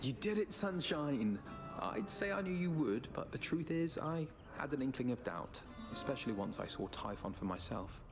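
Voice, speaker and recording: A man's voice: medium pitch, big pitch swings, normal speed, creaky. A man voicing a laid-back, affectionate young musician god in a video game, casual, candid and friendly. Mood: relieved, candid. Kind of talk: scripted video game dialogue. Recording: plucked string music behind.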